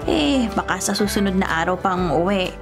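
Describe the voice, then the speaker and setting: Casting: female actor as hospitable middle-aged provincial mom, gently bossy, warm, radio serial, music underneath. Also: mid-range voice, lively intonation, moderate pace, natural voice. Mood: welcoming, motherly. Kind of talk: Tagalog radio drama acting.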